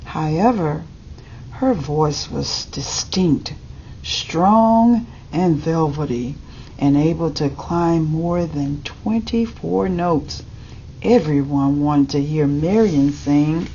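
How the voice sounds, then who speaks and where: Low-voiced woman slowly reading a storybook. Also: dark tone. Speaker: storybook reader. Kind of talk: reading a children's picture book aloud. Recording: dull lo-fi audio with background noise.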